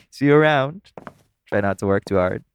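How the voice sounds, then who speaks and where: A man's voice: mid-range voice, slight background noise. A man playing a flirtatious, easygoing nightclub coworker in a casual, teasing audio roleplay. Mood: playful, flirtatious. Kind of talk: audio roleplay acting addressed to the listener.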